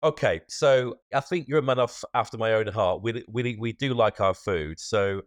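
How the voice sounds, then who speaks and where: A man's voice: lowish voice, moderate pace, dry recording, studio quality. A man hosting a podcast interview, playfully setting up imagined scenarios for his guest. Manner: playful, sets up imaginative questions.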